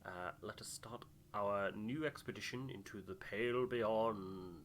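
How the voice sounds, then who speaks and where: A man's voice: fairly low pitch, unhurried, a little hiss or hum. A man hosting a voiced game playthrough, moving between casual chat and reading narration aloud.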